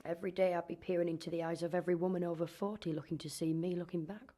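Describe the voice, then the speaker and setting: An actress playing a wry, searching young daughter in a radio drama monologue. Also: female voice, lowish voice, flat delivery, racing, dark tone, close to an everyday voice. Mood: reflective. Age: young adult.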